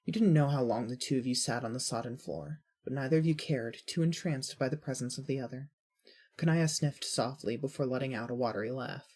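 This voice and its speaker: Husky low-voiced woman narrating fiction. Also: brisk, a little mellow. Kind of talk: scripted second-person fiction narration.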